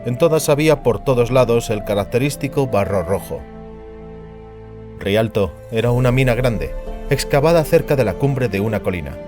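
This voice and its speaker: A man's voice: medium pitch, animated, moderate pace. A man narrating a fantasy novel in a scripted, literary audiobook style. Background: music.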